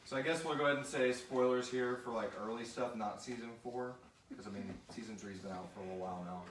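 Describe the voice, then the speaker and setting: Male host, casual and rambling, live group chat feel, distant echoey sound with clear background noise. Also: medium pitch, fairly even intonation, fast. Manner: rambling, informal, thinking aloud. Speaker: host and show creator reading listener questions.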